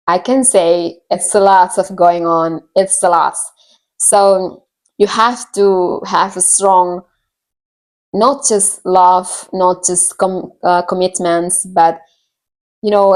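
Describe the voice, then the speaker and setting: A woman's voice: lowish voice, darkish tone. A woman from Indonesia with an Indonesian accent tells a personal story to viewers casually, often searching for words and repeating herself. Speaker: vlogger.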